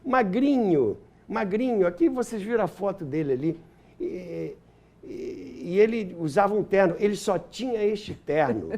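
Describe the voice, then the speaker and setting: An elderly man from Brazil speaks as a guest historian. His voice sits a bit high and swings in a very lively way. He tells nostalgic stories with enthusiasm, repeating words for emphasis. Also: measured pace. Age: 70s. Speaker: interview guest, radio historian and author. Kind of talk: animated anecdotal storytelling in an interview.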